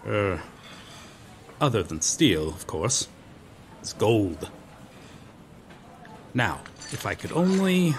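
Man acting a gallant, slow-spoken medieval knight. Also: lowish voice, background noise. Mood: courteous, proud. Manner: formal, archaic, theatrical phrasing. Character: gallant, proud, courteous medieval knight, male, out of his time. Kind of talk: ASMR roleplay acting.

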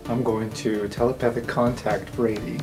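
Man, tabletop player, casual improvised talk, medium pitch, soft background music. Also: flat delivery, normal speed. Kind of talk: casual improvised roleplay chat. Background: faint music.

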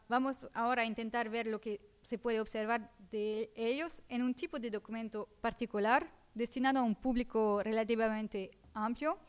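A woman with a Portuguese accent reading a conference paper in a seminar room, careful and halting, often correcting herself. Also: a little high, smooth. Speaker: academic speaker.

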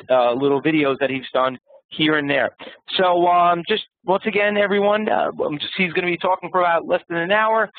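A man hosting an online event, introducing the next speaker in a halting way with many fillers. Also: on the high side, dry recording. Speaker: event host. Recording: tinny phone-like online audio.

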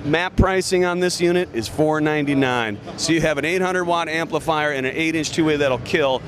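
A man's voice: a little high, fairly quick. A man giving an informal, emphatic sales demonstration as a company representative in a conversational style. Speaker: loudspeaker company representative at a trade show. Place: busy indoor trade show floor. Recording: noisy trade show floor with urban-like background din.

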